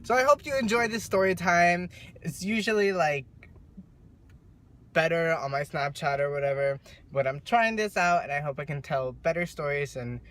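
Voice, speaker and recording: A young man telling a casual story in his car, chatty and animated with lots of filler. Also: on the high side, normal speed. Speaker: vlogger. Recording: road noise in a car.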